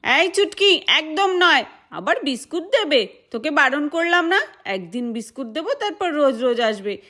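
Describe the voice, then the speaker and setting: Jealous rich neighbour in a doll story woman performer: high voice, snappy, dismissive, scolding. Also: big pitch swings, moderate pace, pushed and bright, full character voice.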